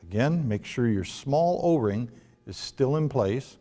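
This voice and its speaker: Slow, mellow man in an echoing room. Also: mid-range voice, lively intonation. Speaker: product demonstrator. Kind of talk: step-by-step instructional demonstration. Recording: long reverberant room echo.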